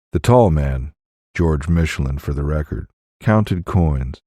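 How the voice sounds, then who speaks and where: Very deep, mellow male audiobook narrator. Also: no room sound, clean studio sound. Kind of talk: scripted fiction narration.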